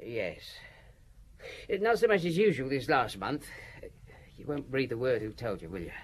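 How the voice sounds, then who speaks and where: An actor playing a shifty, cheeky lowlife informant in a radio drama, husky and colloquial. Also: male voice, sing-song, moderate pace, slight background noise, lightly acted. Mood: cagey.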